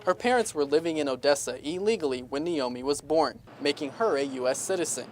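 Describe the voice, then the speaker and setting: A man's voice: on the high side, animated, fairly quick, a little hiss or hum. A man working as a television news reporter, delivering scripted reports and stand-ups on location.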